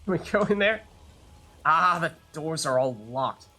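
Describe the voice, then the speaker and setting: Bright-voiced man, chatty game streamer. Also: on the high side, animated, unhurried. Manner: chatty, thinking aloud, musing. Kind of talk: casual live let's play commentary.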